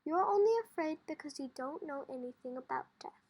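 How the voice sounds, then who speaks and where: Woman reading as a high-voiced, calm, wise farmer father. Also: a little fast. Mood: reassuring.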